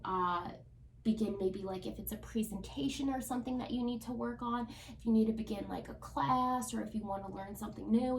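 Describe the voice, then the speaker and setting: A woman's voice: medium pitch, distant mic, a little hiss or hum. Congested woman in an echoey room. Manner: chatty, with frequent ums and likes. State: congested from a cold. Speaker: tarot reader. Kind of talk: casual tarot reading vlog.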